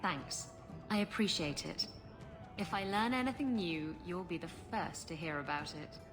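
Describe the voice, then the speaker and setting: An actress playing a confident, roguish treasure hunter in a video game, talking in a breezy, casual way. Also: female voice, lowish voice, moderate pace, lightly acted. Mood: grateful. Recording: music behind.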